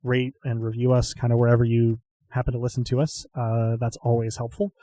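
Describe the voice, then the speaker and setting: A man's voice: mid-range voice, level tone, warm tone, dry recording. Chuckling man running a tabletop game. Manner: halting, many fillers and chuckles. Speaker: game master and host. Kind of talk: narrating and wrapping up a tabletop game podcast.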